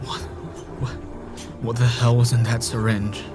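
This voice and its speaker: A man's voice: medium pitch, little pitch movement, unhurried, music in the background, close to an everyday voice. A man acting in an audio drama as an obsessive, murderous man trapped in a time loop, speaking with pleading intensity. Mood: alarmed. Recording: noisy background ambience.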